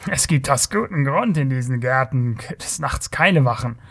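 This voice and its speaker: Here is a man playing a swaggering male rogue from a fantasy audiobook. He jeers and bellows with scornful condescension. His temper is short and his boasting is loud. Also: medium pitch, lively intonation, moderate pace, vocal fry. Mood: boastful warning. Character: mocking, boastful, hot-tempered southern male thief in a tavern.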